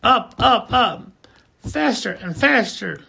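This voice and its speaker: A man reading a children's picture book aloud as an amateur audiobook, slow and deliberate. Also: high voice, animated, creaky, sharp tone, breathy edge. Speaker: audiobook reader.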